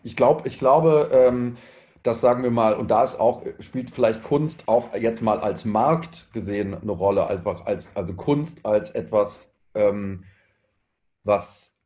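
A man in his thirties in a panel discussion, thinking aloud haltingly and repeating words as he searches. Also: on the low side, darkish tone. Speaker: panelist, artist.

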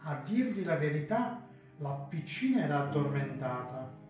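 A man acts out a chatty hotel waiter with a high voice and a teasing, theatrical manner. He speaks radio-play style in a strongly reverberant room, faint music behind. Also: very animated, moderate pace, darkish tone. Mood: chatty, confiding. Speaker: actor in a radio drama. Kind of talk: radio drama acting.

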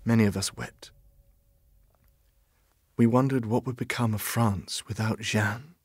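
A low-voiced, grieving medieval soldier. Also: male voice, steady tone, a little hiss or hum. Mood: sombre grief. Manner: sombre, measured recollection. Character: grieving, loyal medieval soldier recounting a defeat. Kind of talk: audio drama acting.

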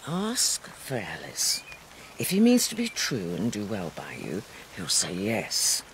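A woman's voice: extremely low pitch, wildly swooping pitch, slow, a little hiss or hum, exaggerated voice, poor audio. An actress playing a shrewd, blunt, commanding older queen in a historical radio drama. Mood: urgent counsel. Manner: bawdy, imperious, urgent.

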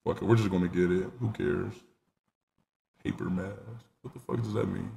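A nineteen-year-old man streaming live from a small room on a headset mic, excitable and rambling, swearing freely. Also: low voice, moderate pace, gravelly, a little hiss or hum. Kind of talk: casual live stream chat.

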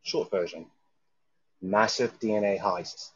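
A man reads an earnest father in a science fiction drama. His voice is lowish and husky, and the audio is dull, like a voice-chat app. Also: unhurried. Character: earnest father recording a message for his child. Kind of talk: screenplay table read.

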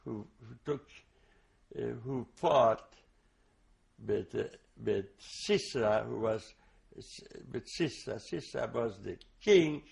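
Older man, Hungarian native, testimony interviewee; gravelly, slow, halting with restarts. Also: medium pitch, lively intonation, a little mellow. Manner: halting, many restarts and fillers; slow, reflective recall. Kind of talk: oral history testimony.

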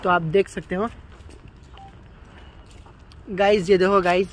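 A man's voice: sits high, level tone, slow pace, slight background noise. A teenage or young man vlogging on his phone while walking through a park, chatting casually and calling out to his viewers again and again. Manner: chatty, repeats "guys" often, mixes English words into Hindi. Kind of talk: casual vlog walk-through. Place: outdoor city park. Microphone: handheld phone.

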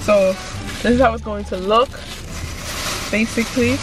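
A woman's voice: on the high side, moderate pace, over music, low-quality recording. A young woman chatting casually to a dashboard camera inside a car, upbeat and repeating her catchphrases. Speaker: vlogger pranking her fiancé.

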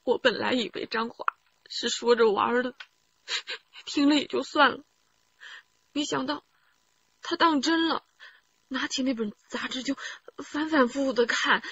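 An actress playing an aggrieved middle-aged wife giving her account in a radio crime drama, in a subdued, halting and weary manner. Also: high voice, a bit bright, breathy edge, lo-fi sound, exaggerated voice. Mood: rueful. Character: aggrieved wife confessing her husband's scheme to police. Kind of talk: radio drama testimony, recounting.

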